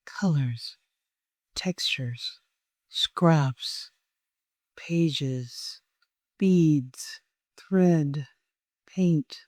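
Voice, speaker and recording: A person leading a guided meditation in a gentle, soothing way, with long unhurried pauses. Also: mid-range voice, no echo, clean studio sound.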